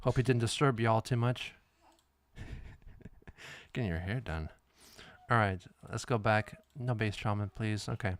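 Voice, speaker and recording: Slow, relaxed man. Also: on the low side. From Lithuania. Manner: relaxed, chuckling, halting restarts. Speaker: streamer host. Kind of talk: casual livestream chat. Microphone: studio dynamic microphone.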